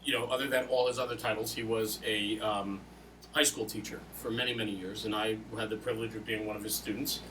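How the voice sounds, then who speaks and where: A man's voice: lowish voice, steady tone, fast, a bit bright, far from the mic, a little hiss or hum, poor audio. A man hosting an interview, telling personal anecdotes in a casual, colloquial way. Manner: casual, colloquial storytelling with reenacted dialogue. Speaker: podcast host and volunteer firefighter.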